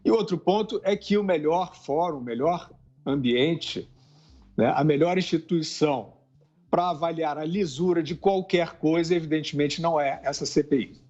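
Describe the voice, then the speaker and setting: Man, slightly high voice, measured argument, dull lo-fi clip. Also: darkish tone. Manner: measured, arguing. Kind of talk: argumentative statement. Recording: dull lo-fi broadcast audio.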